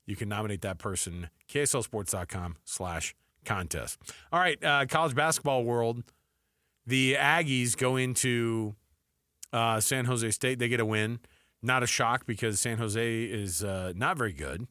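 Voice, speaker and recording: A man's voice: medium pitch, measured pace, pushed and bright, no room sound. An American man hosting sports talk radio in a chatty, conversational, effusive way on a close studio mic.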